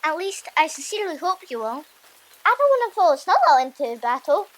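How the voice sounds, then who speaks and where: Woman voicing an earnest, very high-pitched Viking boy. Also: big pitch swings, fairly quick, poor audio. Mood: earnest, encouraging. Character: Fishlegs, earnest, anxious, loyal young Viking boy. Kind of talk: audiobook character acting.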